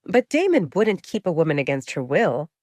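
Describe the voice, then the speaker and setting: Female audiobook narrator, slightly low voice, animated, dramatic scripted reading. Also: moderate pace, no echo, studio quality.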